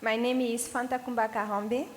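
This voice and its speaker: A woman's voice: a little high, little pitch movement, moderate pace. A woman from Mali, a visiting IT engineer who introduces herself formally and politely. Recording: reverberant hall with faint hum.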